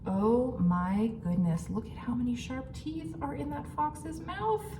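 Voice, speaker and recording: A woman's voice: sits high, measured pace. A woman reading a picture book aloud to young children in simple phrasing, with exaggerated suspense and questions to her listeners. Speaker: children's storytime reader. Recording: roomy, distant mic, clearly noisy background.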